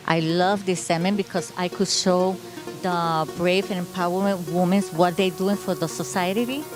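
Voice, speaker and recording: A woman's voice: lowish voice, fairly even intonation, moderate pace, over music. A woman host whose first language is Spanish, interviewing a guest in English with enthusiasm. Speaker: show host. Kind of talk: interview hosting.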